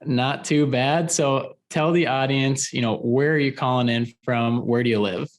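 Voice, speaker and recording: A man's voice: mid-range voice, steady tone, unhurried. A man hosting a construction podcast, enthusiastic and conversational, often repeating words as he starts his sentences. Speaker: podcast host. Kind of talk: upbeat interview hosting.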